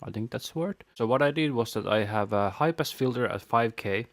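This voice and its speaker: A man presenting an audio tutorial, casually explaining a software setup step by step into a close microphone. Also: mid-range voice, lively intonation, fairly quick, dry recording.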